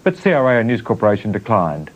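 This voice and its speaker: A man's voice: on the low side, very animated, fast. Guy doing a dry business news voiceover, muffled old tv sound. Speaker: business reporter. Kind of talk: news report voice-over.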